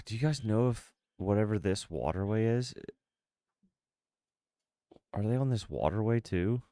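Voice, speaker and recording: Deep-voiced congested man, casual game streamer. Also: a little slow, dark tone, dry recording, studio quality. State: congested, sniffly. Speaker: game livestreamer. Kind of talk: casual livestream chat with gameplay commentary. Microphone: close streaming microphone.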